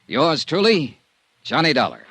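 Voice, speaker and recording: A man's voice: fairly high pitch, big pitch swings, a little slow, heavy background noise. An actor playing a hard-boiled male insurance investigator in a 1950s radio drama, talking in a clipped, sardonic way. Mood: wry report.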